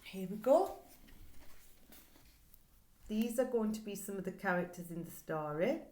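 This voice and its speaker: A middle-aged woman teaching, reading a children's book aloud in a deliberate, expressive way and putting questions to her listeners. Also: mid-range voice. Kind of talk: read-aloud lesson for pupils, reading and explaining a children's book. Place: small plain indoor room. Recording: echoey, roomy indoor recording.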